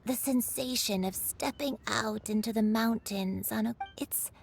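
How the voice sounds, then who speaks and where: A woman voicing a serene, dreamy, nature-loving character in a video game, calm and contemplative in delivery. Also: a little high, unhurried, vocal fry, a little hiss or hum. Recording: music under the voice.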